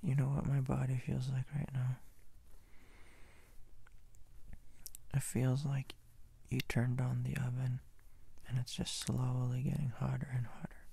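A man playing a sleepy, needy, affectionate young boyfriend in an ASMR roleplay, rambling in a breathy, husky, half-whispered murmur. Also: medium pitch, completely flat delivery, moderate pace, mellow, dry recording. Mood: sleepy, tender.

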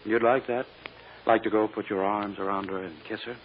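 An actor playing a controlling prosecutor who talks down to a child, smooth and insistent, in a radio drama. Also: male voice, lowish voice, steady tone, fairly quick, close to an everyday voice. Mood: coaxing. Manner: patronizing, insistent. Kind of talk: 1950s radio drama acting. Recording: dull noisy old radio recording.

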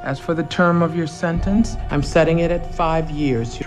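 A woman playing a stern, low-voiced judge. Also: fairly even intonation, moderate pace, close to an everyday voice. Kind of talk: film dialogue. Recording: film audio with music.

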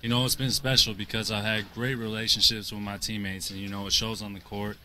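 A man in his twenties answering post-game interview questions as a basketball player, in a measured, earnest way. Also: fairly low pitch, little pitch movement, sharp tone. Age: 20s. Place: indoor basketball arena, courtside. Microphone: handheld interview microphone held to him. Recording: clear arena background noise.

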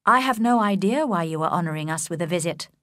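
A woman's voice: lowish voice, lively intonation, fast, fairly bright tone, no echo, clean studio sound. A woman voicing a cool, composed young lady.

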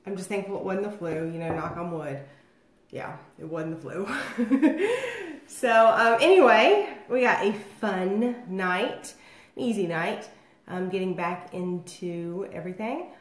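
A woman's voice: mid-range voice, unhurried. Chatty woman with a cold. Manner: rambling and chatty, many fillers. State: getting over a cold, nasal and phlegmy. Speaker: host of a home cocktail livestream. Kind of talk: casual livestream chat. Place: dining room at home, indoors. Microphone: phone or webcam across the table. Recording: echoey room.

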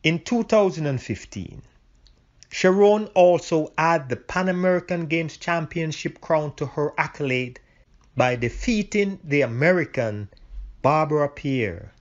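A man's voice: a little high, animated, muffled recording. A man reading a formal scripted introduction. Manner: slow, formal announcing.

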